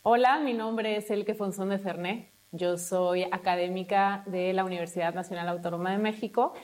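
A woman's voice: medium pitch, steady tone, normal speed, darkish tone. Fluent woman academic. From Mexico. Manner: fluent, explanatory. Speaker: academic researcher. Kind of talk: presentation to camera.

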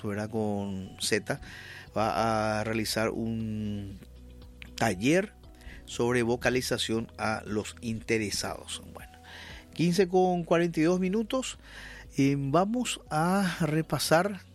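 A man with a Paraguayan accent hosting a talk radio show, chatting with guests and reading news items with frequent fillers. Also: mid-range voice. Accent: Paraguayan Spanish. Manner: hesitant with many fillers and restarts, then reading news steadily. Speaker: radio host.

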